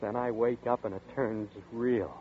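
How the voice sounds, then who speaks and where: A man's voice: medium pitch, steady tone, normal speed, phone-quality sound, natural voice. An actor playing a frightened young man who narrates and stammers nervously, in 1940s radio style. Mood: desperate. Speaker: narrator and lead. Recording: scratchy 1940s radio broadcast.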